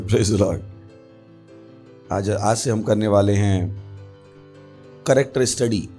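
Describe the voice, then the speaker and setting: A man's voice: on the low side, mellow. An Indian man preaching as a pastor, teaching emphatically with repeated phrases and refrains. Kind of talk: sermon with prayer and Bible teaching.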